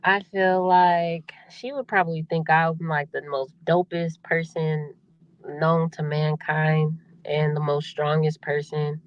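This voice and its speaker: A young adult woman with a deep voice, a singer talking casually as a podcast guest, laughing often and repeating herself as she speaks. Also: clear, resonant tone, highs cut off. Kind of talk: casual interview chat.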